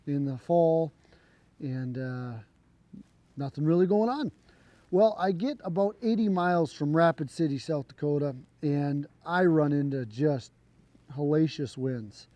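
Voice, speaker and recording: A man with a hoarse voice, still recovering from a cold, casually telling a long story to camera. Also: a little high, no room sound. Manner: relaxed, rambling, with fillers and restarts. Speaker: trick shooter and channel host. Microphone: camera mic.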